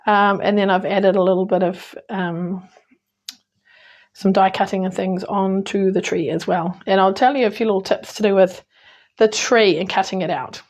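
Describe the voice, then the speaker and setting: A woman with a New Zealand accent, hoarse today, chatting through a card-making tutorial with frequent ums and small self-corrections. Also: mid-range voice, fairly even intonation, moderate pace. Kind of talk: casual crafting tutorial.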